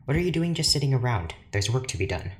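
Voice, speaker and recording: An actor playing an obsessive, lonely, overworked scientist in an audio drama, formal and brooding. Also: male voice, medium pitch, big pitch swings, fast, slight background noise, natural voice. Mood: brisk, demanding. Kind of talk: audio drama monologue, diary-like.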